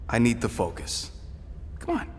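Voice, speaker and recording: A man playing a cocky, scrappy outlaw sidekick in a video game, informal and expressive. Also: medium pitch, moderate pace, in a large hall, slight background noise. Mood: casual. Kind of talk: video game cutscene acting.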